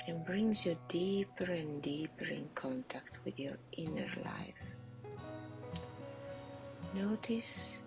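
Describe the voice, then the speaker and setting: Very slow, low-pitched woman guiding meditation. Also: poor audio. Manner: hushed, breathy, deliberate with long pauses. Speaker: guided meditation leader. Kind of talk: slow guided visualisation, meditative narration.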